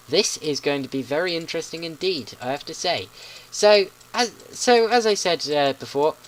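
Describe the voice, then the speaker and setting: A man's voice: a little high, low-quality recording. A teenage boy in secondary school giving chatty, polite play-by-play commentary on a video game, often stumbling over his words. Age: teens.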